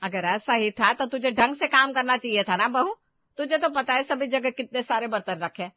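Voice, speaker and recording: A woman acts a bossy middle-aged mother-in-law in a family story. She talks in a fairly high, chiding, emphatic voice, recorded dry. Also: animated, normal speed, dry recording, muffled recording, close to an everyday voice. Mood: scolding.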